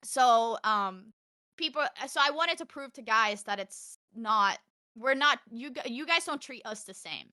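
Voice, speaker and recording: Young woman, high loud bright voice, outspoken gamer guest chatting casually, swears a bit. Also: lively intonation, unhurried. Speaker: podcast guest, gaming content creator. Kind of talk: casual chat, animated anecdotes.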